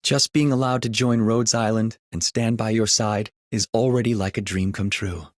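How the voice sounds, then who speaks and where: Man acting shy young male game character, humble, admiring, lower pitch, dry recording. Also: steady tone, moderate pace, no room sound, lightly acted. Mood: bashful, admiring.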